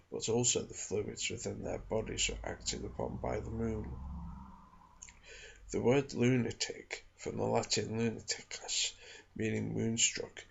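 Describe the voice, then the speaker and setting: A man reading aloud from a book in ASMR style, soft-spoken, hushed and measured, recorded in a small room at home. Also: medium pitch, creaky, slightly rough, muffled recording. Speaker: ASMR reader and channel host. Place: small home studio in a back bedroom.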